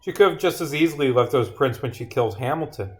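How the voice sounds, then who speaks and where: A man's voice: medium pitch, expressive, quick pace. A man livestreaming as a game developer, reading his own script aloud theatrically and dropping into muttered asides. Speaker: streamer and visual novel developer.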